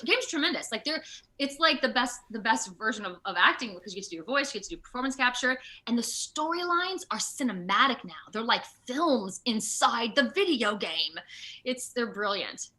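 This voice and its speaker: A woman with a very bright, forceful voice chats casually as an interview guest. Her pitch sits a little high and moves around a lot. She is expressive and giggly, retelling things with dramatic flair. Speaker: interview guest, video game voice and motion capture actor. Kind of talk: casual animated interview chat.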